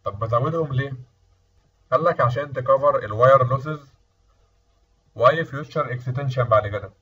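A male instructor with an Egyptian accent explains technical material in a lecture style. His voice is mid-pitched and slightly rough, and he poses questions that he then answers. The recording sounds dull, as if the mic sits some way off. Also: a bit bright, distant mic. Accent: Egyptian Arabic. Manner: asks and answers own questions.

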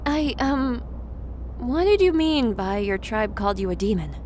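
A woman voicing an earnest young female pony in an audiobook, with a hesitant, gently questioning manner. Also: a little high, big pitch swings, unhurried, slight background noise. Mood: hesitant, curious.

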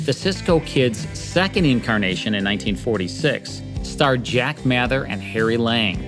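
A man in his fifties, a podcast host, reading a scripted introduction in a studio in a steady, informative way. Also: medium pitch, normal speed. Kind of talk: scripted narration. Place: studio set with a table. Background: music.

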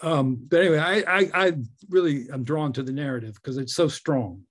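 Featured poet, man, a bit high-pitched, hesitant and modest. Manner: halting, repeats words, modest. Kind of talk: casual conversation on video call. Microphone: video call.

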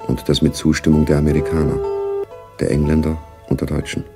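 A man narrating a spy radio drama gravely, with a deep, gravelly voice. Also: flat delivery, brisk, over loud music.

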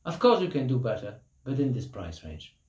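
A man in his thirties, not a native English speaker, casually reviewing a laptop in plain, halting English and apologizing for his language. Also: medium pitch, very animated, darkish tone, echoey room. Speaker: tech reviewer. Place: hot room at home with a construction site nearby. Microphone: laptop built-in mic and camera. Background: buzzing construction noise.

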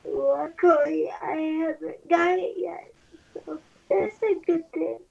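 A woman from the United States in an intimate couple roleplay, cooing in a drawn-out, babyish way on a lo-fi recording. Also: very high-pitched, steady tone, gravelly, a little mellow. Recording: muffled playback of a lo-fi video.

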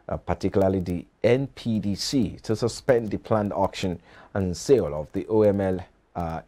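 Low-voiced Nigerian male news anchor. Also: darkish tone. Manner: formal, measured announcer delivery. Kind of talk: scripted news reading. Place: TV news studio.

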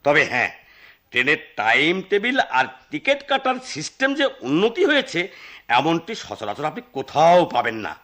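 An actor in a Bangladeshi radio drama playing a talkative, slyly satirical eccentric local at a railway station, rambling theatrically in a Bangladeshi accent. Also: male voice, on the high side, wildly swooping pitch, normal speed, fairly bright tone, full character voice. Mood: mock-earnest. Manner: theatrical, rambling explanations with filler phrases. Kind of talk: Bengali radio drama acting.

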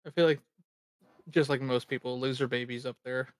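A woman's voice: very deep voice, fairly even intonation, moderate pace, no echo. A woman co-hosting a casual livestream, talking tentatively as if thinking aloud. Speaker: stream co-host.

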